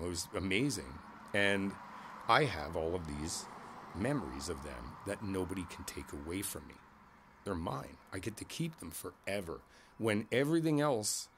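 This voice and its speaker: A man playing a kindly older neighbor in an ASMR roleplay, reflective and candid, talking in a halting, conversational way and repeating his phrases. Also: low-pitched, lively intonation, background noise. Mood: reassuring, philosophical.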